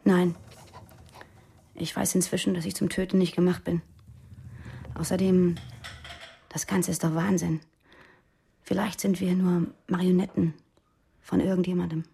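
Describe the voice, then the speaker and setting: An actress playing an uneasy young militant woman confiding secrets in a radio drama, hushed, urgent and earnest. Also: on the low side, level tone, measured pace, vocal fry, pauses often, a little hiss or hum. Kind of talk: German radio drama dialogue.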